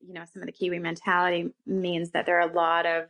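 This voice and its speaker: A woman with a Canadian accent speaks as a guest in a conversational interview. Her pitch sits a little on the low side. She tells personal stories in an everyday, unscripted way. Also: completely flat delivery, fast, fairly bright tone. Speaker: interview guest, co-working space founder.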